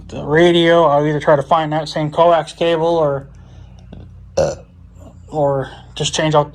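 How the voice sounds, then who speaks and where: Higher-pitched man rambling through a DIY vlog. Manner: rambling, thinking aloud. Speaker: vlogger. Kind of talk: casual hands-on DIY vlog talk to viewers.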